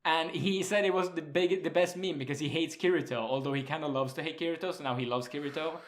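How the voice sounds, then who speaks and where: A man's voice: on the high side, fairly even intonation. Young male co-host, Swedish accent, casual podcast banter, giggly, profane, hesitant. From Sweden. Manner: laughs often, swears casually, halting with fillers. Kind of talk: casual chat.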